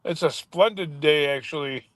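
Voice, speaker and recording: A man's voice: fairly high pitch, normal speed, fairly bright tone, dry recording. A man with a Chicago accent chatting as a guest on a livestream, easygoing. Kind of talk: casual livestream chat.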